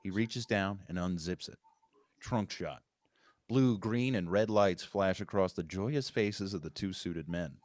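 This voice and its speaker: A man's voice: low voice, unhurried, darkish tone, dry recording, studio quality. A man narrating stage directions at a screenplay table read, in scripted narration.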